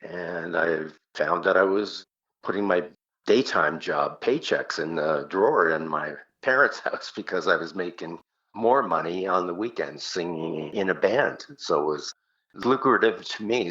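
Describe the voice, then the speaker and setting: A man's voice: low-pitched, measured pace, fairly bright tone, highs cut off. An older man with a Canadian accent, a singer casually reminiscing as an interview guest.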